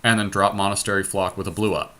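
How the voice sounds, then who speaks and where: Man, low voice, casual game commentary, podcast co-host, thinking out loud, mild trash talk. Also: little pitch movement, fast talker. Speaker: podcast host playing online card game.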